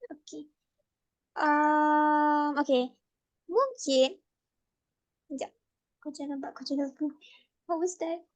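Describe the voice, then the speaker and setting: Slow, high-voiced Malaysian woman teacher. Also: dull audio. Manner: slow and patient, mixing Malay and English. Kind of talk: casual online language class.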